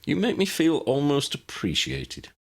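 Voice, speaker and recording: A man puts on a confident, wry young man for an audiobook. The voice is airy and lifted well above his own, with very lively swoops. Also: fairly high pitch, a little slow, vocal fry, voice pushed up, exaggerated voice. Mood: airy, teasing.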